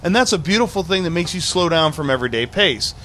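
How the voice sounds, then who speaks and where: A man's voice: fairly high pitch, animated, fast talker, pushed and bright, a little hiss or hum. A man co-hosting a casual chat show, giving easygoing, rambling explanations. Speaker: podcast co-host. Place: bar, live show.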